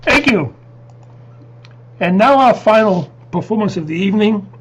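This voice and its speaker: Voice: medium pitch, animated, moderate pace, breathy edge. A show announcer reading scripted introductions. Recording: dull lo-fi sound with noise.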